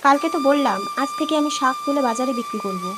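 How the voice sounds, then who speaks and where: A woman's voice: sits high, wildly swooping pitch, fast. A woman voicing a poor, honest village wife in a cartoon, speaking earnestly and plaintively. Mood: caring, determined. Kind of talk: cartoon character dialogue. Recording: music behind the voice.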